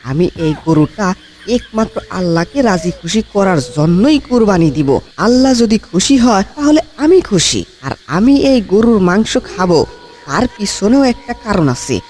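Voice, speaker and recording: Smug cartoon cow thief, acted by a man: high, lively voice, argumentative and falsely pious, over background noise. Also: a little slow. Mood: pious self-justifying. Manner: argumentative, mock-earnest reasoning. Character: brazen cattle thief, smugly self-justifying and pious-sounding. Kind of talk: comic cartoon dialogue.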